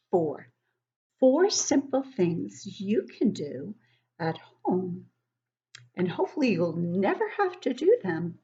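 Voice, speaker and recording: Unhurried woman reading to young children. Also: medium pitch, slightly rough, muffled recording. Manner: expressive, explaining asides and questions to young listeners. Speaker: children's book club host and reader. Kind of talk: read-aloud picture book storytelling for young children.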